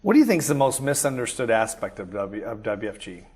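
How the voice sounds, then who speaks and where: A man interviewing a guest, asking plain, measured questions. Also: on the low side, sing-song, a little mellow, breathy edge.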